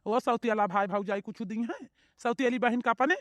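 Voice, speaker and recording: A woman's voice: fairly high pitch, steady tone, fairly quick, a bit bright, dry recording, pitched below the performer's own voice, lightly acted. Scheming Awadhi mother-in-law, a woman putting her on, dry voice, pushy and plotting. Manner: egging on, keeps asking "you know?".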